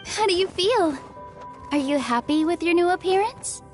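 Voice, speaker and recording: A woman voicing a kind, reassuring young adventurer in a video game, gentle and composed. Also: very high-pitched, expressive, moderate pace, soft background music.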